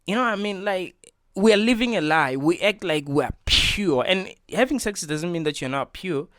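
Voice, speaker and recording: A man's voice: high-pitched, expressive, normal speed, a bit bright, no echo, clean studio sound. A man hosting a reaction video, talking in an earnest, preachy way and repeating his points with lots of filler words. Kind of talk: casual opinion monologue.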